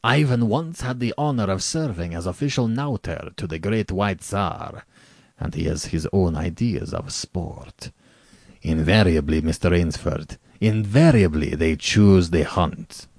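A man's voice: low voice, unhurried, darkish tone, no room sound, studio quality. A man voicing an urbane, arrogant aristocratic general in a story, with bland, precisely worded condescension. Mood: bland, cruel. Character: urbane, arrogant male aristocrat general who hunts men.

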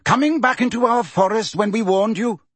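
A man's voice: high voice, moderate pace, no room sound, pitched above the performer's own voice. A man voicing a hostile, bearded male centaur who speaks with sneering contempt. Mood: contemptuous.

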